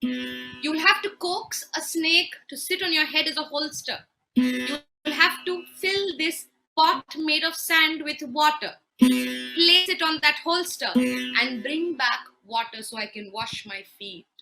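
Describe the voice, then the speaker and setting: A woman voicing a stern, imperious sage husband who gives slow, deliberate orders and flies into shouting rage. Soft guitar plays behind her. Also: sits high, gravelly, heavily acted, rough recording. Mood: commanding.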